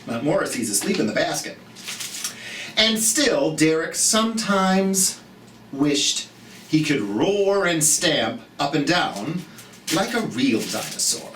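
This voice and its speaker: A children's storytime host reading a picture book aloud, slowly and expressively, with chatty asides to young listeners. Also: mid-range voice, faint music behind. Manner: slow, expressive, speaking directly to children. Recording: roomy, distant mic, background noise.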